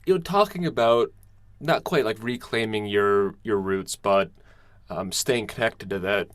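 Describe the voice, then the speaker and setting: A man hosting a podcast, asking loose, relaxed interview questions with fillers. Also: on the low side, unhurried, no room sound, studio quality.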